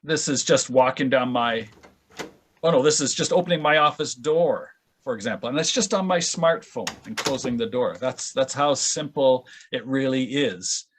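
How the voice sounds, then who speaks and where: A man explaining audio editing step by step in an informal, halting way during an online session. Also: medium pitch, slight background noise. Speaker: audio editor demonstrating at a conference session. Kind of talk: informal software tutorial. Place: online video call with screen sharing.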